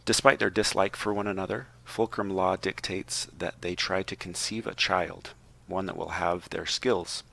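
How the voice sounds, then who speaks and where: A man's voice: low-pitched, fairly even intonation, moderate pace, gravelly, sharp tone. A man on a podcast reading a prepared book summary aloud, with a wry, tongue-in-cheek delivery and joking asides.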